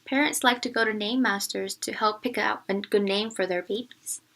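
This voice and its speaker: A woman teacher reading a picture book aloud, narrating and pausing to explain it to young listeners. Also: medium pitch, fairly even intonation, gravelly, heavy background noise.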